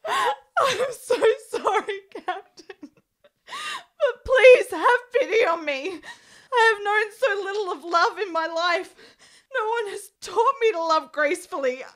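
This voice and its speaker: Ailing, lovestruck period heroine: high voice, florid, desperate, melodramatic radio-play delivery. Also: female voice, very animated, slow pace, a bit bright, heavily acted. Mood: tearful, desperate pleading. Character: sickly, lovestruck, desperate woman in 1870s Italy. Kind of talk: period radio drama acting.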